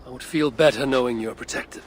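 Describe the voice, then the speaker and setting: A man playing a grave, brooding older Norse god in a video game cutscene, speaking in a measured, sometimes hushed way. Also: mid-range voice, a little hiss or hum, natural voice. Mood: resolute.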